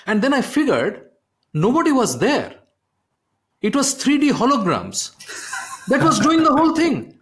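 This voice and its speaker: A middle-aged man, a podcast host, telling a story in an animated way and often asking "okay?". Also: very high voice, measured pace, long pauses, a little hiss or hum. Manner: animated, repeats "I mean" and "okay?". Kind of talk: conversational storytelling.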